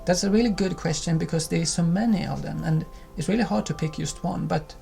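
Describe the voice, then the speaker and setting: A man in his thirties from Sweden with a Swedish accent, co-hosting a cozy video calmly, with small hesitations. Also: on the high side, a little fast, gravelly, soft background music. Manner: calm, friendly, with small hesitations. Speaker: co-host of a cottage lifestyle channel. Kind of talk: casual chat to camera.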